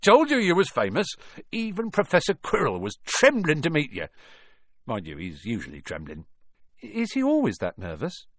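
A man voicing a huge, kindly giant with a rough, homely way of talking. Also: a little high, huge pitch swings, moderate pace, no room sound, studio quality, voice pushed up, exaggerated voice. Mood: cheerful. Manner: homely, rough-edged and friendly.

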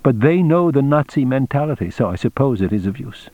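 A man's voice: medium pitch, expressive, brisk, warm tone, no echo, lo-fi sound, voice pushed up, lightly acted. A man voicing a deferential, watchful Japanese businessman in an audiobook, using stilted, formal phrasing. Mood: musing.

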